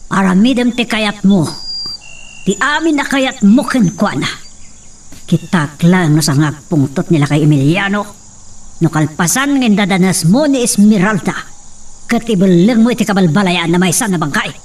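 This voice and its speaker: A woman's voice: medium pitch, animated, moderate pace, natural voice. A woman with an Ilocano Filipino accent playing a ruthless, scheming, imperious mother in a radio-style drama. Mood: goading, cruel. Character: ruthless scheming mother of a villainous young master. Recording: distant mic, faint background noise with insects.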